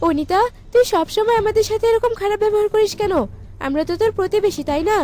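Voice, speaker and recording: A woman's voice: very high-pitched, quick pace, mellow. A woman voicing a mature village neighbour in a cartoon, indignant and remonstrating in an exclaiming way. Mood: reproachful. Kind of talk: cartoon voice acting. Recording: music behind the voice.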